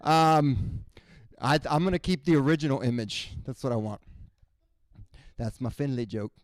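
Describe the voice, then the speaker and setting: A man from Cuba, raised in Miami, doing a stand-up comedy set in a basement room and jumping into loud shouted voices for the people in his jokes. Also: medium pitch, moderate pace, dry recording. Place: basement room at a college show.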